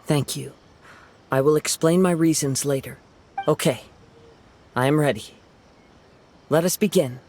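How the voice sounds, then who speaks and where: A man voicing a calm young wandering swordsman in a game, soft-spoken and formal. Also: a little high, measured pace, pauses often, a little hiss or hum. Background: game soundtrack music.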